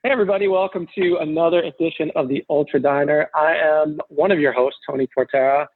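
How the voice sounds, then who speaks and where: A man's voice: a little high, steady tone, fast talker, dry recording, narrow, tinny audio. A man hosting a casual podcast, joking and rambling through a loose, self-deprecating introduction. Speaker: podcast host.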